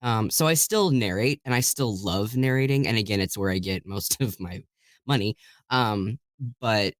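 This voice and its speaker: A man's voice: lowish voice, normal speed, no echo, clean studio sound. A man talking casually and enthusiastically as a guest in an interview about his work as a voice actor. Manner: enthusiastic, chuckles while talking. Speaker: guest voice actor and audiobook narrator.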